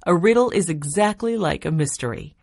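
A woman voicing a warm, wistful woman who is a family friend, in a children's audiobook. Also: lowish voice, expressive, fairly quick, vocal fry, breathy edge, dry recording, studio quality, exaggerated voice.

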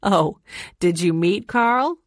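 A woman voicing a brisk, friendly lodge owner. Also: on the low side, lively intonation, dry recording, studio quality.

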